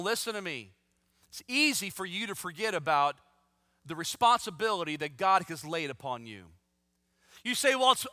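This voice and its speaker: Man in his fifties, sermon, scripture reading, emphatic exhortation, high voice, long reverb. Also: bright, forceful tone, clean studio sound. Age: 50s. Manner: reads scripture aloud, then preaches with rising, emphatic intensity. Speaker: pastor.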